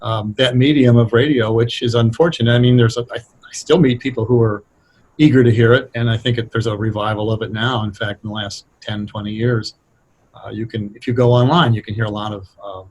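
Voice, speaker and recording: A man's voice: lowish voice, a little mellow, husky, poor audio. A middle-aged or older man talking casually as an interview guest, explaining things in a reflective, hesitant way. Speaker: guest, radio drama writer.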